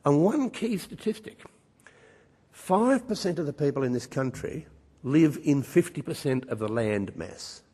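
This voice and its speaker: A man's voice: on the high side, very animated, a little slow, warm tone, husky. An older man gives a conference speech, emphatic and wry, full of rhetorical questions. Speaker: conference speaker. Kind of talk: public speech at a mining conference. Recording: reverberant conference hall.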